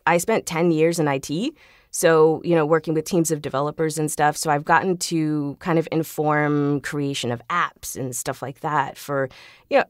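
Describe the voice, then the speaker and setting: Female podcast guest, lower register, casual and self-deprecating storyteller, very dry room. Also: dry recording. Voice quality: trained singer. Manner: informal, self-deprecating, often laughing. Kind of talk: casual interview chat, personal anecdotes.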